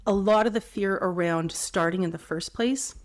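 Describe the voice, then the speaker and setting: A woman vocal coach, a little hoarse after a cold, calmly guiding listeners through breathing exercises. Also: mid-range voice, normal speed. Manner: calm, instructive, gently encouraging. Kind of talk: guided breathing lesson.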